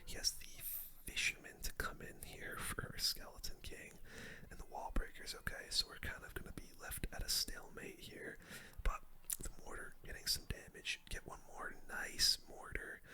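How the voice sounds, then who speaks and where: A young adult streamer whispering casual, slangy gaming commentary in an ASMR style, close to the microphone. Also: normal speed. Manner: casual gamer slang, addresses viewers as dude and guys. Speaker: video game streamer.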